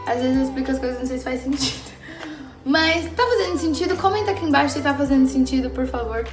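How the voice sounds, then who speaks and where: A young woman vlogger chatting casually and motivationally, animated and slangy, speaking straight to her viewers. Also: sits high, quick pace. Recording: roomy echo, faint background music.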